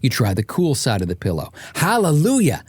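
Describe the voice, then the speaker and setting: A man's voice: mid-range voice, wildly swooping pitch, brisk, darkish tone, breathy edge, no room sound. A man reading a scripted audiobook as its author, telling it in a wry, comic way with exasperated emphasis. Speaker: author and podcast host narrating.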